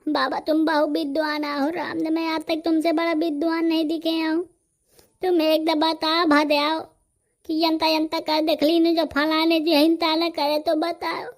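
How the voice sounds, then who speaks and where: A gossipy cartoon villager acted by a man rambles in a Bundelkhandi accent. The voice is pitched up high and sounds artificial, with an earthy, exclamatory way of talking. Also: monotone, measured pace. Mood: pleading, flattering. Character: excitable, gossipy male villager in a comedy cartoon. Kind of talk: rustic comedy cartoon dialogue.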